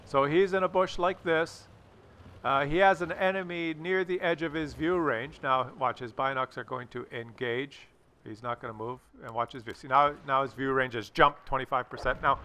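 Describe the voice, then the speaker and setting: A man giving casual gameplay commentary and coaching in a rambling, halting way, often repeating himself. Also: fairly high pitch, pushed and bright. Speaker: gaming video host and commentator.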